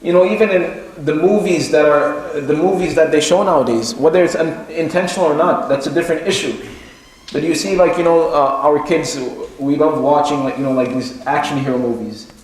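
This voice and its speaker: A man giving an Islamic religious lecture, explaining haltingly and repeating key phrases for emphasis. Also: a little high, darkish tone. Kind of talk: sermon-like lecture retelling and explaining a religious story. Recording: very echoey room with faint noise.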